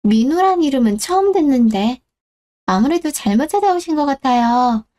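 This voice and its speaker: A woman's voice: sits high, animated, moderate pace, mellow. A woman playing a polite, considerate supermarket clerk in a radio drama. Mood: apologetic, helpful. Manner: courteous, considerate. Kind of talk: Korean radio-drama story acting.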